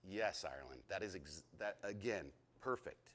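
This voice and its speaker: A man in his thirties teaching a leadership lesson to a group, excitable and chatty, often asking the group questions. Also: low-pitched, normal speed. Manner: excitable, talks a lot, asks the group questions.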